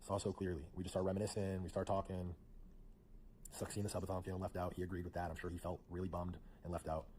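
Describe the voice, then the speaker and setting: A man narrating his falling-out with a friend as he scrolls through old messages. He talks quickly and rambles, with many fillers. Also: low voice, little pitch movement, a little mellow, a little hiss or hum. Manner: fast, rambling, filler-heavy. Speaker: streamer recounting a friendship. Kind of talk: narrating over screenshots.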